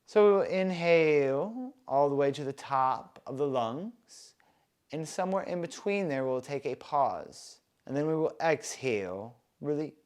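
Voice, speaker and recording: A man softly leading a guided breathing meditation, with calm, soothing instructions. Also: a little high. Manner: slow, soft, calm and soothing guidance.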